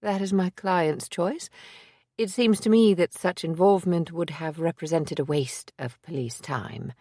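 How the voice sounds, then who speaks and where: A woman voicing a determined woman private investigator, speaking in a measured, formal, resolute way. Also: fairly low pitch, dark tone, dry recording, clean studio sound. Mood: composed.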